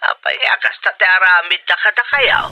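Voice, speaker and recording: Man acting worried older father, sharp phone voice. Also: sits high, brisk. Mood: concerned. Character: concerned older father of a working daughter. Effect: telephone filter. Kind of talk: Ilocano audio drama acting. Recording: voice through a phone line.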